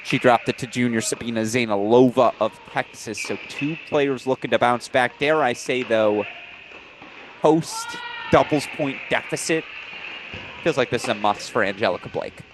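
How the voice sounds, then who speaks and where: A man doing play-by-play commentary for a college tennis broadcast, brisk and informative, with brief apologetic asides. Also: mid-range voice, background noise.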